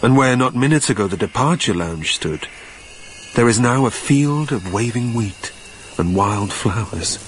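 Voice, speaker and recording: A man's voice: mid-range voice, animated, a little slow, noisy background, lightly acted. A man playing a wistful, haunted dead man, narrating a radio drama in a literary, brooding way. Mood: dreamlike. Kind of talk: dramatic first-person scripted narration.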